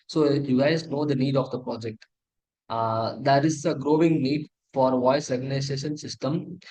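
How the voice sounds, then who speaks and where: Male tutorial presenter, Indian accent, mid-pitched, stop-start delivery with repeats and self-corrections. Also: warm tone, low-quality recording. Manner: halting, repetitive, self-correcting. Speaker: presenter of an engineering project demo. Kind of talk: tutorial walkthrough, partly read from slides.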